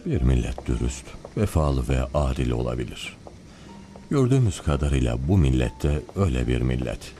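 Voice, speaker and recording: An actor playing a calculating priest in an animated film, speaking in deliberate, speech-like sentences. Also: male voice, extremely low pitch, a little hiss or hum. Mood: measured, scheming. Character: calculating old priest testing another nation's morals.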